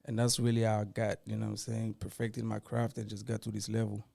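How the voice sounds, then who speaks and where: A man in his thirties from Mali, a rapper guest, talking in a relaxed, laid-back way that often trails off softly. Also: on the low side, fast, gravelly, no room sound. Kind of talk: casual interview chat.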